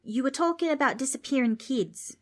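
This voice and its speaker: A woman's voice: high-pitched, lively intonation, brisk, voice pushed up. A woman voicing a cheeky boy with an Australian accent in a storybook. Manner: cheeky, offhand.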